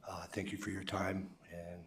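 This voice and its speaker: A man in his sixties with a hoarse voice, giving halting public testimony as a softball umpire. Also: low-pitched, level tone, noisy background. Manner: halting, many restarts. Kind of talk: public comment at a council meeting. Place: council chamber. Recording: echoey council chamber, distant mic.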